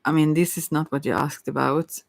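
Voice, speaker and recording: Halting, creaky-voiced tarot reader, very close and dry. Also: mid-range voice, steady tone, dry recording. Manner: hesitant, halting, apologetic, with frequent self-interruptions. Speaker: tarot card reader, ASMR host. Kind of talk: rambling ASMR tarot reading.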